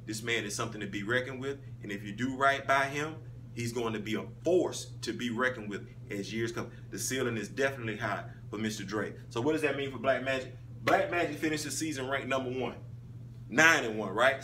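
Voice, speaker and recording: Man, 30s, flag football recap host, animated, loud call-outs and low asides, bright forceful voice, phone a bit distant. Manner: animated, emphatic, swings between loud calls and low asides. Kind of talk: casual sports recap monologue. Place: small indoor room, in front of a wall poster. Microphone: phone camera at arm's length. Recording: phone audio, a bit distant, faint hum.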